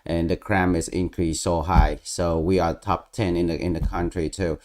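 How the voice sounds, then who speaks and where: Interview host: male, low voice, casual, halting delivery with searching pauses. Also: flat delivery, darkish tone.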